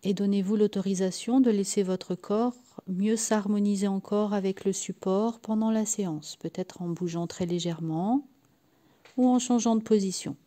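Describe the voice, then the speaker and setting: A woman leading a guided yoga nidra relaxation in a soft, sometimes breathy voice, soothing and unhurried, leaving long pauses between short phrases. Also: mid-range voice, steady tone. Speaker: guided meditation and sophrology practitioner.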